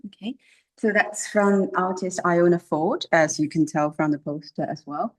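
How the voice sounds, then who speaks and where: A woman's voice: lowish voice, moderate pace. A woman explaining exhibits as a curator, hesitant, with non-native English phrasing. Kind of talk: informal explanatory interview. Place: indoors in a gallery.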